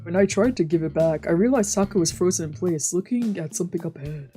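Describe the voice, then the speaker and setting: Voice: medium pitch, fast talker, warm tone. A streamer narrating a visual novel aloud in a scripted storytelling style. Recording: music behind.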